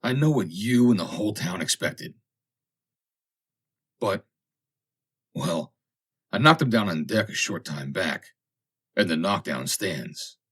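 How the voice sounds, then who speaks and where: A man's voice: low-pitched, expressive, takes it slowly, long pauses, husky, heavily acted. Man acting a wounded, emotional young navy officer. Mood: heated. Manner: agitated, pained. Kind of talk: dramatic audiobook character voice.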